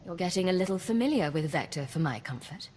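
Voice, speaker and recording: A woman's voice: lowish voice, lively intonation, quick pace, a little mellow, breathy edge, long reverb tail. An actress voicing a composed, direct female imperial secret agent in a video game. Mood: wary. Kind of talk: scripted video game voice acting.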